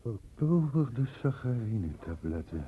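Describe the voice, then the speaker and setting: An actor playing a sharp, precise doctor who questions and explains in a Dutch radio play. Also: male voice, mid-range voice, big pitch swings, a little slow. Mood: probing, doubtful. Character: sharp, probing male doctor investigating an infection outbreak. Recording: dull, noisy old radio recording.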